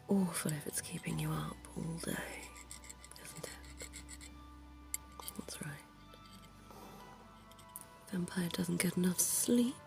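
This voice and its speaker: Woman playing a sinister, genteel old vampire dentist: low, gravelly, slow whisper over music. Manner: soft whispering, posh and coaxing. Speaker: ASMR roleplay performer. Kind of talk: ASMR roleplay.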